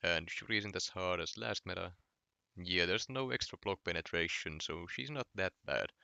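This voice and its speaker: A man's voice: deep voice, normal speed, gravelly, no room sound, dull audio. A young man from Finland with a Finnish accent, chatting casually on a late-night gaming stream while answering his chat. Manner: relaxed, reading chat aloud and answering.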